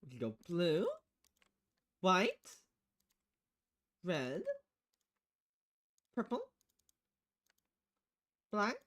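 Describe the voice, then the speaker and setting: A woman's voice: fairly low pitch, very animated, extremely slow, long pauses, a bit bright. A woman streaming a game, casually reading its text aloud and commenting as she plays, acting out the lines.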